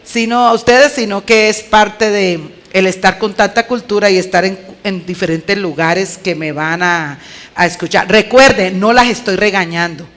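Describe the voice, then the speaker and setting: A woman's voice: medium pitch, moderate pace, fairly bright tone. A woman with a Colombian accent preaching conversationally, with a clipped, emphatic delivery and rhetorical questions to her listeners. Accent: Colombian Spanish. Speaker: women's conference speaker.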